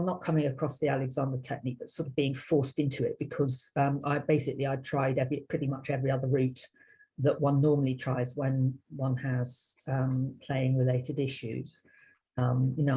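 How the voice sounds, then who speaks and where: Husky, even-toned British woman. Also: extremely low pitch, low-quality recording. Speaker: interview guest, violinist and researcher. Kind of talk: personal storytelling in a panel chat.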